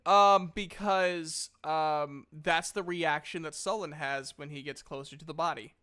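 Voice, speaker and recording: A man running a tabletop game as game master, improvising descriptions haltingly as he searches for words. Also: a little high, fairly even intonation, pushed and bright, smooth.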